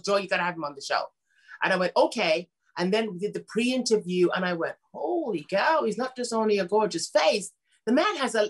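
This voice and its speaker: A woman's voice: medium pitch, fairly bright tone, breathy edge, rough recording. Effusive woman hosting an interview show. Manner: effusive, gushing, calls the guest sweetheart and honey. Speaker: show host. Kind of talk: enthusiastic interview host.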